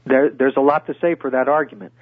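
An older man, a guest on a radio interview, speaking in a measured way with many hesitations and restarts. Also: mid-range voice, no echo. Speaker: guest, former intelligence officer. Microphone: phone call. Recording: tinny phone line.

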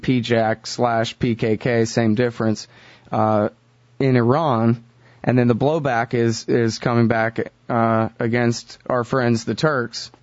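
A man's voice: mid-range voice, darkish tone, dry recording. A male radio host talks casually and asks pointed questions, stumbling now and then on fillers. The sound is dry and close but a bit muffled and lo-fi. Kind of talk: radio interview.

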